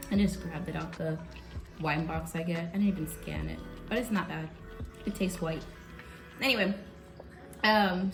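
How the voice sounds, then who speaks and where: A woman's voice: lowish voice, gravelly, echoey room. A young woman from the South Bronx, telling a personal story in a casual, candid vlog and swearing now and then. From South Bronx, New York. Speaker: vlogger.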